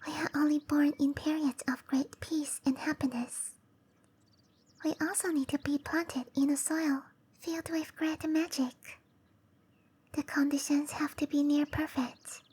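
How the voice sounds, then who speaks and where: A woman voicing a shy, gentle young cherry blossom dryad in a breathy, hesitant whisper for roleplay ASMR. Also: high voice, fairly even intonation, measured pace. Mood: gentle, wistful. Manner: hesitant, bashful, softly spoken. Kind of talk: roleplay ASMR, intimate and slow.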